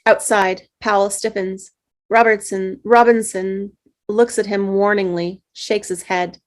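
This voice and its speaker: A woman reads a film script's scene directions aloud at a table read. Her delivery is steady and plain, with the odd stumble and restart. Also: medium pitch, measured pace. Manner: steady reading with small slips and restarts. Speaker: narrator reading stage directions.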